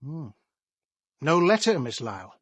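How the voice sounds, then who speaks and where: An actor playing a measured, dryly sceptical police inspector questioning suspects in a radio play. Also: male voice, a little high, very animated, slightly rough, no room sound, lo-fi sound, heavily acted.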